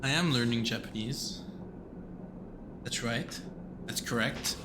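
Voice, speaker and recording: A man streaming a game, reading text aloud and rambling in a self-mocking way, swearing now and then. His voice sits in an ordinary middle range. A clear layer of noise hangs under the home recording. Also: medium pitch, takes it slowly.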